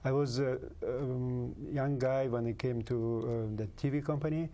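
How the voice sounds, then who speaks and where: A man in his fifties with a Russian accent, a native Kalmyk speaker, answering interview questions haltingly with many pauses and fillers. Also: mid-range voice, steady tone, dark tone. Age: 50s. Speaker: guest.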